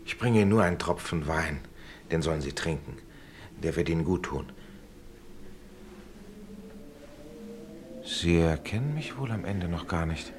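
A courteous older-brotherly gentleman with a very deep voice. He speaks in measured, reassuring and admonishing phrases. The radio play recording has a faint background noise. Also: expressive. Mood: gentle, concerned. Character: courteous, paternal gentleman visiting a sickbed. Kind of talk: German radio play acting.

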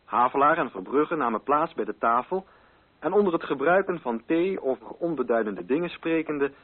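A man reading literary narration for a radio play in a measured, formal and carefully articulated way. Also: a little high, expressive, narrow, tinny audio.

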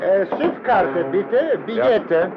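An actor playing a polite, eager ship's steward with a foreign accent and halting, broken German in a children's radio play. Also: male voice, sits high, expressive, vocal fry, exaggerated voice. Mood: eager, courteous. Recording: dull, noisy old radio play.

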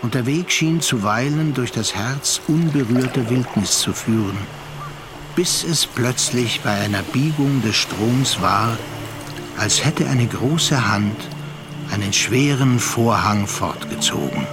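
An actor playing a reflective, worldly gentleman narrator in a literary radio drama, speaking measured standard German. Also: mid-range voice, lively intonation, music in the background. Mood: contemplative. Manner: measured, contemplative, deliberate phrasing.

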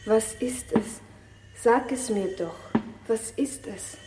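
A woman's voice: a little high, slow pace, over music. An actress playing a young woman who pleads with bewildered questions in a 1960s radio play. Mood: pleading. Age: young adult. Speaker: young wife.

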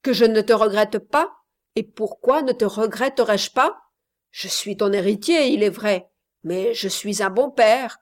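A loud, insistent old miser of a father, voiced by a woman, pressing his demands in an audiobook reading. His words come out raised and forceful, at a slow, deliberate pace. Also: mid-range voice, close to an everyday voice. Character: blustering rich old man, miserly father.

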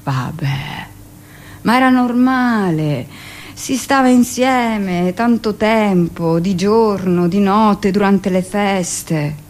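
A woman's voice: mid-range voice, natural voice. A woman playing a brusque, gossipy middle-aged hospital midwife who tells her memories in a theatrical, conversational radio monologue. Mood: confiding, reflective. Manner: conversational, dramatic pauses, shifts into mimicked speech. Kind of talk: theatrical first-person monologue, confiding storytelling.

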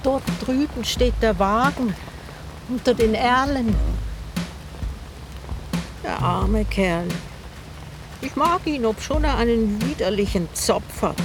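A woman's voice: a little high, lively intonation, slow, pauses often, noisy background. An actress in a radio drama playing a talkative old river boatwoman with a wry, chatty, teasing manner. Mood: fond, wry. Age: older.

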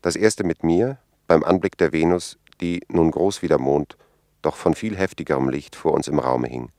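An actor playing a guarded, deferential secret agent in a 1950s radio drama, speaking formally and with restraint. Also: male voice, extremely low pitch, normal speed, darkish tone, no room sound, studio quality. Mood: sober, reporting. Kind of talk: 1950s radio drama acting, narrated report.

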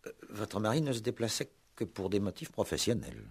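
An actor playing a gruff, impatient police commissioner who questions tersely with measured pauses, in a sixties radio play. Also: male voice, lowish voice, sing-song, exaggerated voice. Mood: formal, tactful. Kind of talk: 1960s French-language radio play acting.

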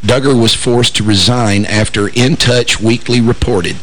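A man hosting a radio talk show, hoarse and raspy from shouting, joking wryly and stumbling into fillers and restarts. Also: mid-range voice, fairly even intonation, a little slow, low-quality recording. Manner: wry, joking asides, frequent fillers and restarts. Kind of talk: casual talk radio banter.

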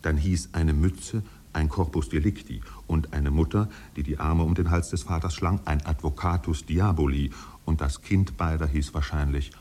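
An actor playing a solemn literary storyteller, narrating a 1950s German radio drama in a measured, dramatic manner. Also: male voice, warm tone. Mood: grave, brooding. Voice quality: deep, husky.

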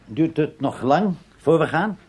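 Terse, reserved general. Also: male voice, fairly high pitch, lively intonation, a little slow, darkish tone, breathy edge. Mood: guarded. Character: reserved, curt foreign male general. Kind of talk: Dutch radio play acting.

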